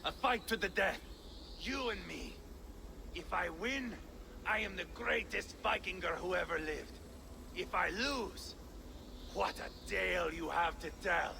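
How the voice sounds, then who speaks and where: An actor playing a bloodthirsty, taunting Viking warrior in a video game, theatrical and unhinged, moving between whispers and roars. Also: male voice, high-pitched, unhurried, gravelly, background noise, full character voice. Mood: gloating, taunting. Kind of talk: dramatic video game acting.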